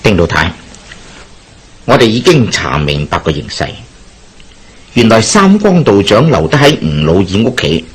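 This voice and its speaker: A man playing a confident schemer bent on justice in a Hong Kong Cantonese radio drama, explaining persuasively in a husky voice. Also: on the low side, sing-song, moderate pace, long pauses.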